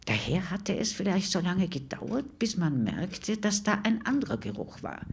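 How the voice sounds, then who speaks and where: An actress playing a chatty neighbour in a mock radio documentary, husky and rambling, with hesitant, digressive recollections. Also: female voice, lowish voice, very animated, normal speed, a little hiss or hum. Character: chatty female neighbour recalling a stench, digressive. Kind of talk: mock documentary interview, spontaneous-sounding monologue.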